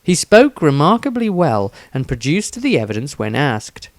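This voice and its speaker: A man's voice: a little high, big pitch swings, moderate pace, no room sound. A man reading a scripted fantasy story aloud as its narrator.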